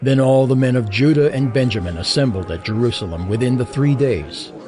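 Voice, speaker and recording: A man giving scripted narration for a dramatized Bible, measured and solemn. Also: mid-range voice, darkish tone.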